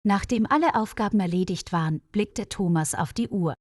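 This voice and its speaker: A woman narrating a scripted story in a clear, measured way for learners. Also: no room sound, clean studio sound. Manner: clear, measured reading with quoted dialogue lifted higher.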